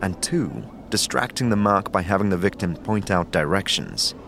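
A man's voice: low voice, expressive, moderate pace, gravelly, fairly bright tone, slight background noise. A man as game master, narrating the scene descriptively in second person. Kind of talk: scripted narration. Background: background music.

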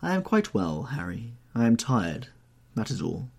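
A man voicing a tired, subdued young gentleman in an audiobook. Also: medium pitch, very animated, unhurried, gravelly, breathy edge, pitched above the performer's own voice, exaggerated voice. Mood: weary. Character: young weary handsome gentleman.

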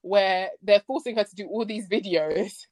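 A woman hosting a casual podcast chat about a TV series, expressive and slangy. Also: mid-range voice, normal speed, dry recording. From Nigerian background. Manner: expressive, slangy, acts out quoted lines. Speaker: podcast host.

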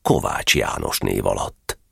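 A man playing a wry, patient narrator telling a children's story in a radio play, with a playfully deliberate delivery. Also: rumbling low, lively intonation, vocal fry, slightly rough, no room sound, studio quality, heavily acted. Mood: wry storytelling.

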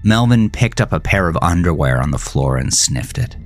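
A man narrating a scripted horror thriller in a measured, deliberate, brooding storytelling manner. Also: low-pitched, big pitch swings. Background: music.

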